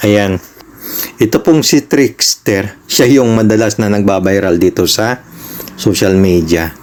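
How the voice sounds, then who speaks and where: A Filipino man with a fairly low voice walks viewers through an app. He hosts casually and conversationally, explaining each step politely. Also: unhurried, a little mellow, slight background noise. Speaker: tutorial host.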